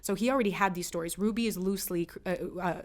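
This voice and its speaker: A woman guest on an interview show, telling lively stories in a quick, slangy, animated way. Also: mid-range voice. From United States. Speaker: actress guest. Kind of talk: casual interview chat.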